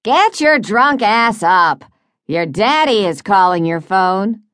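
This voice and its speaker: A woman voicing a brash young man who puts on a mocking falsetto yell in an audiobook. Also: expressive, takes it slowly, no echo, studio quality. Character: teasing young male best friend, faux high-pitched yell.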